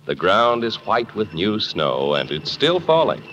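Very animated 1950s male radio announcer. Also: on the low side, a little slow. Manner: polished, dramatic delivery. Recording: muffled, noisy vintage 1950s radio recording.

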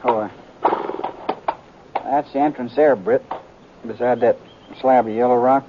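A man's voice: medium pitch, fairly even intonation, unhurried, lightly acted. An actor playing a cautious, plain-spoken posse man in a 1950s radio western, with an American accent and a folksy, tentative manner. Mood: helpful. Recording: dull 1950s radio recording, faint noise.

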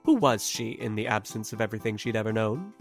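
A man's voice: lowish voice, lively intonation, fast. A man playing a wry, theatrical narrator for a sci-fi comedy trailer. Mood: wry, dramatic. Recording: music behind the voice.

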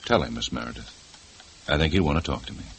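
An actor playing a measured, persistent police detective in a 1950s radio drama. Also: male voice, extremely low pitch, a little hiss or hum. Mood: firm. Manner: calm, firm, measured.

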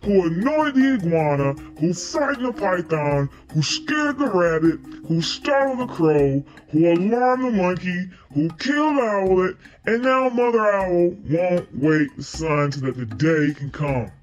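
A grave lion king acted out by a woman storyteller with a lowered voice and wide, swinging pitch. He speaks in a solemn, declamatory storybook cadence, with faint music behind him. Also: animated, measured pace, hardly any pauses, highs cut off. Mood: solemn, proclaiming. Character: grave, commanding male lion king judging animals. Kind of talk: storybook character reading.

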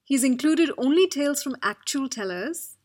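A woman producer on a podcast giving a steady, informative book recommendation. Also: high-pitched, moderate pace.

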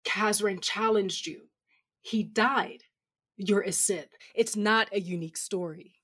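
A woman's voice: mid-range voice, slow, a bit bright, husky. A woman playing a blunt, sardonic comrade of a Sith in an audio drama, confrontational and exasperated. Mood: exasperated, challenging.

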